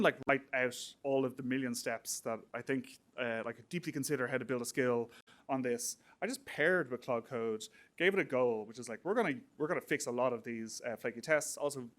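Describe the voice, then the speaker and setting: A man's voice: medium pitch, fairly bright tone. Man giving an informal tech talk. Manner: conversational, hesitant with frequent "like" and "you know". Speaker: tech meetup speaker. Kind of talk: informal technical conference talk.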